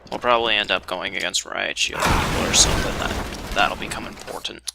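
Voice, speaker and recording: A man's voice: low voice, brisk, gravelly. A man giving casual, rambling commentary as he records a video game let's play. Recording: faint background noise.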